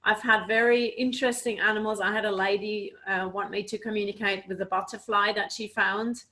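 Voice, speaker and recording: A woman's voice: mid-range voice, fairly even intonation, fairly quick, sharp tone, rough recording. A woman from Switzerland, a guest animal communicator, answering interview questions in an earnest, explaining way with many "you know"s, over a video call.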